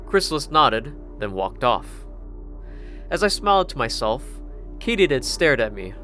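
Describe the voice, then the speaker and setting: A man's voice: mid-range voice, big pitch swings, unhurried. A man reading scripted first-person fiction as a storyteller. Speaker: audio story narrator. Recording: loud music bed underneath.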